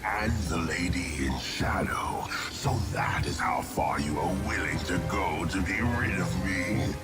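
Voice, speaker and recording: A man voicing a huge, contemptuous demon lord of swarms in a video game, with a menacing breathy rasp and haughty, taunting delivery. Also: sits low, a little slow. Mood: scornful taunting. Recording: loud music behind the voice.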